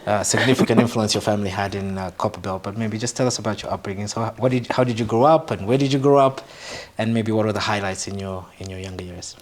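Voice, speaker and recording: A man from Zambia hosting an interview show, speaking in a measured way, with soft acknowledgements and frequent fillers. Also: lowish voice. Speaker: talk show host. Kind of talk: interview, conversational.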